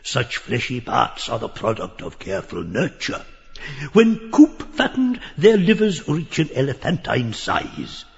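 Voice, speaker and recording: Grotesque, swooping, croaky old pedant. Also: male voice, mid-range voice, huge pitch swings, measured pace, big-room sound, dull audio, exaggerated voice. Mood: lecherous lecturing. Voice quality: nasal, croaking, gabbling. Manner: pompous learned lecturing, full of crowing outbursts. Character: grotesque pedantic old man, parrot-like, leering and excitable. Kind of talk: theatrical audiobook acting of a surreal stage play.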